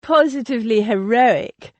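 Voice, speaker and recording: A woman's voice: on the high side, a little slow, a little mellow, no echo, pitched above the performer's own voice, lightly acted. A woman voicing a confident, wry young woman in a storybook reading, speaking with a teasing, playful edge.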